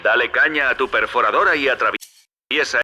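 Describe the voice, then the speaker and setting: An actor with a Castilian Spanish accent playing a wry, chummy opportunist who guides the player in a video game. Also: male voice, medium pitch, normal speed, over music, close to an everyday voice. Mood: matter-of-fact, instructing. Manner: familiar, sardonic, instructing. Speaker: radio guide character.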